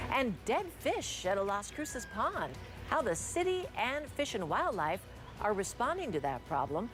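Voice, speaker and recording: A woman with an American accent reading scripted television news as an anchor. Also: mid-range voice.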